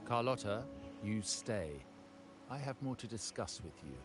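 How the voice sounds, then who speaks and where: A man playing a stern, imperious older uncle who heads a crime family in a video game, speaking with measured menace. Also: on the low side, lively intonation, over music.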